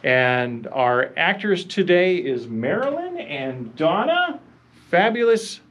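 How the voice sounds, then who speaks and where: Upbeat husky middle-aged man vlogging. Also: sits high, very animated, takes it slowly, a bit bright, highs cut off. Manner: upbeat, enthusiastic. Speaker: vlogger host. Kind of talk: casual introduction chat. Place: museum gallery, indoors.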